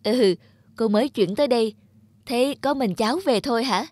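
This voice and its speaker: Cartoon neighbour woman voiced by an actress, kind, soft-mannered, polite, cleanly dry recording. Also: on the high side, normal speed, no echo, natural voice. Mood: kind, concerned. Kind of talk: animated cartoon dubbing.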